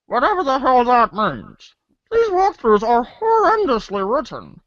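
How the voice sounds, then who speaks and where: A woman voicing a snooty, sardonic young girl in a read-along storybook parody, speaking deliberately and with dry exasperation. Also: fairly high pitch, huge pitch swings, no echo, dull audio, full character voice. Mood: drily exasperated.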